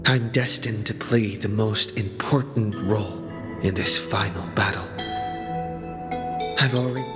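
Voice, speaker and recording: An actor playing a solemn, resolute hero in a video game, with a grave and deliberate delivery. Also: male voice, fairly low pitch, over loud music, natural voice. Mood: solemn resolve. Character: solemn, resolute male hero pondering his destiny. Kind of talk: dramatic game voice acting.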